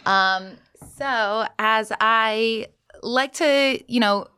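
A woman's voice: fairly high pitch, drawn-out words, bright, forceful tone, clear, resonant tone, no room sound, studio quality. A woman who hosts a podcast and works as a counselor, opening an interview in a friendly, encouraging way with many fillers. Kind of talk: interview hosting.

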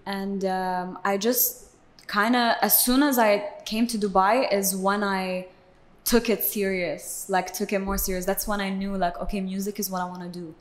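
A woman's voice: mid-range voice, moderate pace. A woman of twenty from Belgium, a singer chatting casually as a guest in an interview, leaning on "like" as filler. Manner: relaxed, chatty, leans on "like" as filler.

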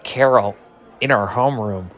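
A man's voice: lowish voice, moderate pace, a bit bright, close to an everyday voice. A man playing an eager, bashful but brash ninth-grade boy in an audio drama.

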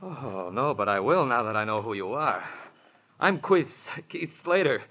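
An actor playing a glib, urbane wastrel heir with an arch, theatrical manner in vintage radio drama style. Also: male voice, mid-range voice, sing-song, measured pace, pushed and bright. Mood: startled, arch. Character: glib, urbane wastrel son of wealthy businessman. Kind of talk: 1940s-50s radio drama acting. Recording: dull old radio recording.

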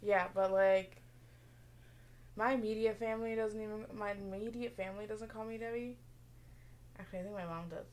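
A woman's voice: mid-range voice, fairly even intonation, moderate pace, long pauses, darkish tone, slight background noise. A woman co-hosting a podcast in casual, joking banter, rambling and playfully sarcastic. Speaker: podcast co-host.

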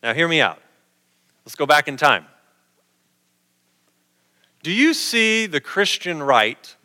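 A man's voice: on the high side, bright, forceful tone, long reverb tail. A middle-aged man preaching a sermon in a conversational way, posing questions to his listeners.

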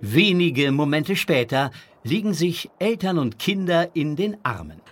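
A man narrating a children's audio drama as a calm storyteller, reading scripted narration. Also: on the high side, a little slow.

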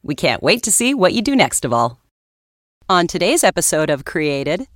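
A woman's voice: fairly low pitch, very animated, normal speed, no echo, studio quality. A woman hosting a podcast, reading a scripted intro and then asking interview questions in an upbeat way. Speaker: podcast host.

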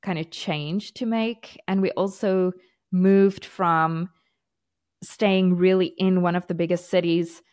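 A woman's voice: lowish voice, fairly even intonation, slow pace, a little mellow. A woman from South Africa with a South African accent tinged with American, co-hosting a casual podcast chat and laughing easily. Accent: South African, partly American. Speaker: podcast co-host.

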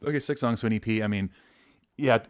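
Easygoing podcast guy reading out emails, kinda low voice, dry sound. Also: fast talker, smooth, no room sound. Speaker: podcast co-host and musician. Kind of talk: casual podcast chat, reading listener emails.

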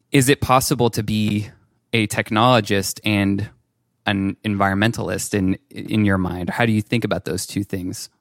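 Lower-pitched male interviewer, dry sound. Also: dry recording. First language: French. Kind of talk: casual, reflective interview conversation.

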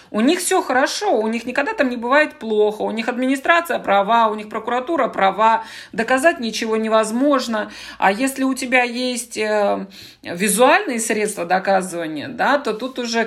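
Podcast guest, female, medium pitch, unscripted, winding and somewhat hesitant explainer. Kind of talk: spontaneous podcast discussion.

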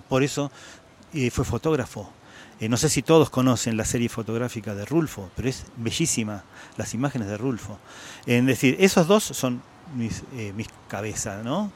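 A man in his sixties speaks with an Argentine accent, his voice sitting at an ordinary middle pitch. He talks reflectively, pausing on "eh" and circling back to repeat words for emphasis. A faint noise hangs behind the recording. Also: darkish tone. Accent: Argentine Spanish. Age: 60s. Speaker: guest writer. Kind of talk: interview at a book fair.

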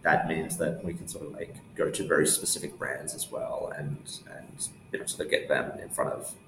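A young man answering interview questions casually, haltingly thinking aloud as he goes. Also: rumbling low, fairly even intonation, creaky. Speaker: interview guest, newsletter founder. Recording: roomy echo, faint background noise.

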